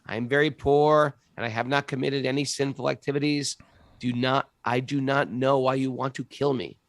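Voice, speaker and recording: A man reading aloud the lines of a frightened earth goddess in cow form, in a plain, earnest voice with a gravelly edge. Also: medium pitch, steady tone, moderate pace, dry recording, close to an everyday voice. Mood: pleading.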